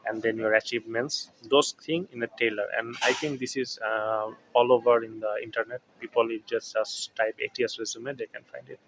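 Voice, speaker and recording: A man from Bangladesh answering interview questions at an office, explaining with frequent pauses and fillers. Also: mid-range voice, fairly even intonation, fairly bright tone, a little hiss or hum, rough recording. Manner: hesitant, explanatory. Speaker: interviewee, quality specialist.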